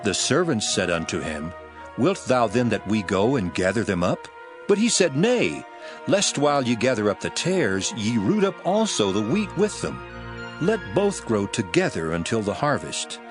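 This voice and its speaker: An earnest preacher in a bible audio drama, solemn, declaiming, really swoops up and down, music behind. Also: male voice, on the low side, very animated. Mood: earnest teaching. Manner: solemn, declamatory, measured phrasing. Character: Jesus, male preacher teaching crowds in earnest parables. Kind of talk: dramatized King James scripture reading. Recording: loud music bed under the voice.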